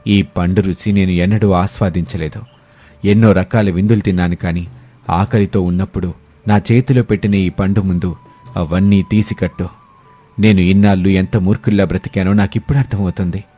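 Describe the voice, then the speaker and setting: A proud, rich older landlord, played by a man in a cartoon village fable. His voice is very deep and clear-edged bright, with music behind him. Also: fairly even intonation, brisk. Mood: remorseful. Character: proud older wealthy male landlord, humbled. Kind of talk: scripted moral-story cartoon dubbing.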